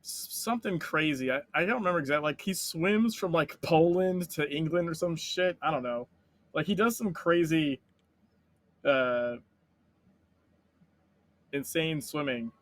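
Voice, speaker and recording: A man co-hosting a casual chat, telling stories in a rambling, animated way and swearing freely. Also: fairly high pitch, unhurried, pauses often. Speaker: podcast co-host.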